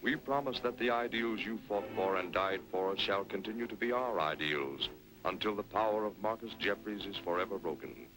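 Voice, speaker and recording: An actor playing a noble, resolute western hero with stately, earnest oratory in an old-time radio drama. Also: male voice, sits low, lively intonation, moderate pace, over music, poor audio. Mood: solemn, resolute.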